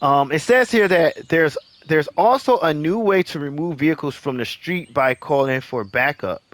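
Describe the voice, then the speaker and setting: A man hosting a gaming channel, giving casual update commentary in a halting way, repeating phrases as he addresses viewers encouragingly. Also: on the high side, animated, a bit bright.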